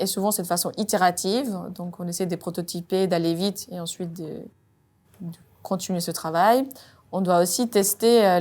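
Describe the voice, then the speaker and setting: Woman, hesitant measured French. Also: lowish voice, darkish tone. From Colombia. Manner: measured, frequent "euh" hesitations. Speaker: robotics R&D engineer. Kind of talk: job interview-style monologue.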